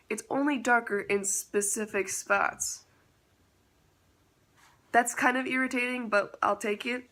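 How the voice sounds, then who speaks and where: A man's voice: high voice, bright, forceful tone. A man chatting casually in a vlog update, self-teasing and laughing often, with a stuffy nose from a slight cold. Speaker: vlogger.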